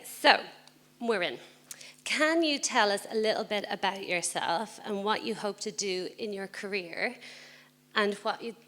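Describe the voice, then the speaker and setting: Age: adult. Sex: woman. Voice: bright, chatty. Role: panel moderator. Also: mid-range voice. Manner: chatty, with frequent fillers and light laughter. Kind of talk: casual live panel talk. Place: stage at a community event.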